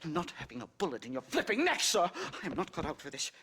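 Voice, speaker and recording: A man's voice: on the high side, wildly swooping pitch, fast talker, sharp tone, slightly rough, exaggerated voice. A man playing a fussy, cowardly academic in a video game, pompous and stammering in his delivery. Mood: jittery. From Connecticut, as the character says. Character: fussy, cowardly, pompous male Yale anthropology professor. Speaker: actor in a video game cutscene.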